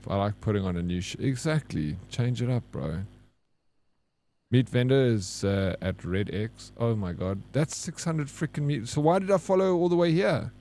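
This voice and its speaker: A man's voice: mid-range voice, dark tone, slight background noise. A man with a South African accent, giving casual, joking gameplay commentary as a streamer and bantering with his chat. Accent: South African English. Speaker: game streamer.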